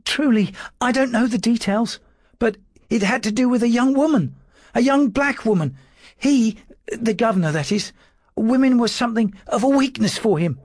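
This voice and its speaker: A man voicing a timid, frightened male secretary who stammers in a high, strained voice. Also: moderate pace, darkish tone, no echo, studio quality. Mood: nervous.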